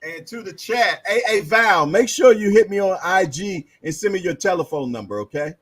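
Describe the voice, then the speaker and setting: A man's voice: high voice, lively intonation, normal speed, a bit bright, husky. A man hosting a boxing panel show in casual live chat.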